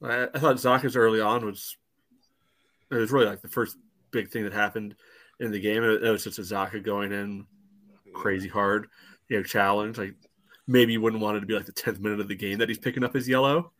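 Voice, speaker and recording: A man's voice: on the low side, fairly even intonation, a little fast, a bit bright. A man co-hosting a sports podcast, giving informal, opinionated match analysis. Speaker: podcast co-host.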